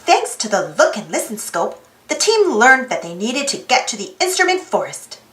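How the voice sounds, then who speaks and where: A woman reading a storybook aloud to children in an expressive narrating style, inviting young listeners in. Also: fairly high pitch, normal speed, echoey room.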